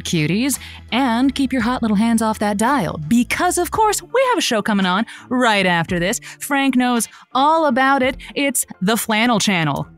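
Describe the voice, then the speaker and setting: A woman's voice: mid-range voice, lightly acted. A woman playing a wry, affectionate radio host who uses pet names, in an audio drama. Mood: affectionate sign-off. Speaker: radio advice-show host. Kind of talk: semi-scripted audio drama.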